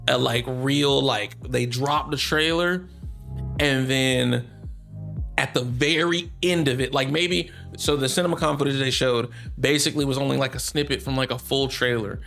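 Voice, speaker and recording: A man giving casual, off-the-cuff gaming news commentary as a host, rambling and halting as he goes. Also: medium pitch, steady tone, vocal fry, fairly bright tone, soft background music.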